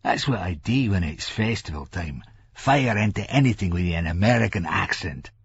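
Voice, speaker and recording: A man's voice: low voice, lively intonation, moderate pace, gravelly, husky, dry recording, highs cut off, heavily acted. A man voicing a mischievous, leering Scottish drinker in an audiobook, conspiratorial and wheedling. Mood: conspiratorial, boastful.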